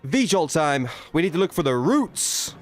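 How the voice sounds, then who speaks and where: A man's voice: on the high side, sing-song, unhurried, soft background music. A man in his twenties chatting casually on a gaming livestream, rambling informally and talking to himself as he plays. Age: 20s. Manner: rambling, informal, self-talking while playing. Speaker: video game streamer.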